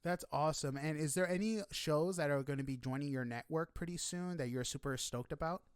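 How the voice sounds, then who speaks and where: A man hosts a casual interview podcast in a fairly high, very clear voice. He is upbeat and chatty, encouraging as he talks. The sound is dry and close, with little room to it. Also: brisk, no room sound.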